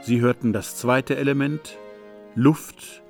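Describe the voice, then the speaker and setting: Unhurried male radio announcer over music. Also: medium pitch, fairly even intonation. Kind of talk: formal scripted radio credits.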